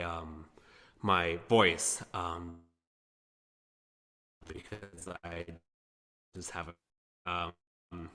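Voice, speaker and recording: A young man with a very low yet bright, forceful voice. He talks hesitantly, pausing on "um", and the clubhouse adds a long, ringing reverb. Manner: hesitant, many "um" pauses. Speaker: guest. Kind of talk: casual conversational interview. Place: apartment clubhouse. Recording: echoey room with long reverb.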